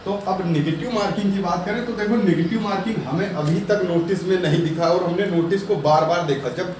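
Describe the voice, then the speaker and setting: A man's voice: high-pitched, a little fast, darkish tone. A man giving informal exam updates and advice as a coaching teacher, repeating his points in a reassuring way. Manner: repetitive, reassuring coaching talk. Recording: very echoey room, distant mic, noisy.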